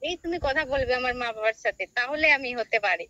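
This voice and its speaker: A woman's voice: sits high, expressive, fast, a crowd in the background. A young woman chatting casually on the phone in a coy, teasing, skeptical way. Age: young adult. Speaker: prank call recipient, college student. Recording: noisy phone call with clicks.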